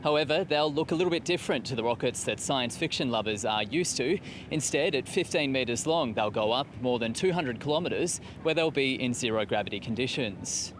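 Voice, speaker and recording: A man's voice: mid-range voice, lively intonation, fairly quick, noisy background. A man with an Australian accent narrating a scripted television news report.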